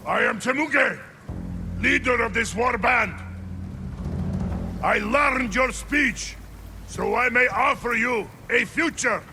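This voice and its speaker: A menacing warlord bellows his proclamation in a high, strained pitch with a gravelly edge. The delivery is slow, imperious and threatening, as in a game cutscene. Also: over music, full character voice. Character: menacing Mongol war band leader.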